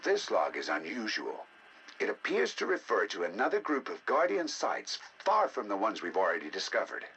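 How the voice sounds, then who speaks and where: Bright, animated male scientist, measured delivery. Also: lowish voice, a little hiss or hum, exaggerated voice, poor audio. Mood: fascinated, eager. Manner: measured, articulate, intrigued. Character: learned male researcher, eager and fascinated by alien ruins. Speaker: scientist narrating research logs. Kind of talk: scripted game audio log narration.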